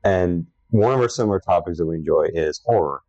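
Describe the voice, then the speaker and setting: A young man with a low voice co-hosting a casual podcast chat, an enthusiastic horror fan who rambles a little. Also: a little fast, dark tone, dry recording. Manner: enthusiastic, self-described horror fanatic, rambling. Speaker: podcast co-host. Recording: dry, dull lo-fi sound.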